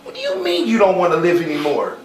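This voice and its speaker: A man's voice: high-pitched, huge pitch swings, fast talker, a crowd in the background. Stage drama: concerned male mentor, swooping intonation, insistent, in an echoey space. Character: concerned adult who firmly encourages a despairing kid. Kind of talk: stage play acting. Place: theater stage. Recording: echoey stage with faint noise.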